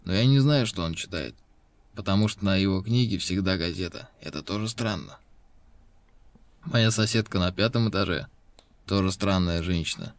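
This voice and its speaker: An actor playing a suspicious seventy-six-year-old pensioner who writes to the police, with a strained voice, in a scripted lesson recording. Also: male voice, low-pitched, dry recording.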